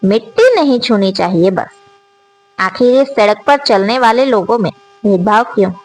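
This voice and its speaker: A woman telling a reflective moral story in a slow, earnest manner, rising on rhetorical questions as the channel's storyteller. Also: medium pitch, expressive, a little mellow, poor audio.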